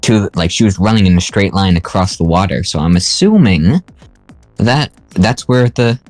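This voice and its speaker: Dude cracking jokes at the table, lower voice, some music under it. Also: male voice, animated, normal speed, a little mellow. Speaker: player. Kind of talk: casual tabletop roleplay.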